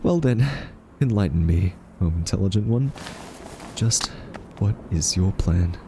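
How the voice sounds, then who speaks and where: A man playing an earnest, quirky scientist in a roleplay audio drama, soft-spoken, hesitant and argumentative as a thoughtful captive. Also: mid-range voice, expressive, measured pace, noisy background. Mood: wry, wary.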